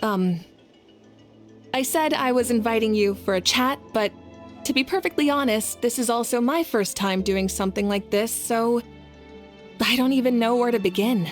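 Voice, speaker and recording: A woman voicing a courteous young leader and fire deity in a video game, speaking formally and sincerely in measured phrases. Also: a little high, faint music behind, lightly acted. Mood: apologetic, earnest.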